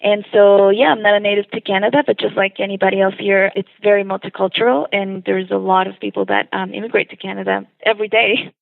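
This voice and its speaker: A woman's voice: mid-range voice, little pitch movement, quick pace, gravelly, few pauses, dry recording. A woman from Colombia, a food blogger talking enthusiastically as a guest in a phone interview, often laughing. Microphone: phone line. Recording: tinny dry phone line.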